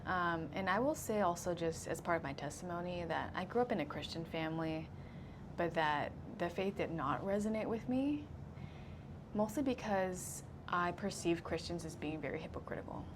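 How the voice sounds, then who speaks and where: A woman in her thirties who grew up in Singapore, sharing her personal faith testimony in an earnest, measured way. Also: lowish voice, expressive, noisy background. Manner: earnest, measured, laughs easily. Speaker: guest.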